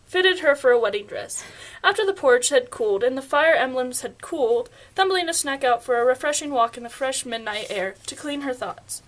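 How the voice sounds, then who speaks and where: A woman's voice: high voice, fairly bright tone. A young woman narrating a scripted fairy tale as storyteller, stumbling over words now and then as she reads.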